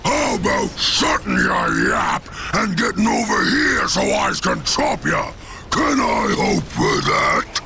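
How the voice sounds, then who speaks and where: Bellowing, gravelly Cockney ork warboss. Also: male voice, high voice, wildly swooping pitch, slow pace, shouting, very noisy, heavily acted. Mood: taunting. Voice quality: gravelly, growling. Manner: crude, bellowing orders and taunts. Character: brutish ork warboss, loud, boastful and belligerent. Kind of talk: video game character acting.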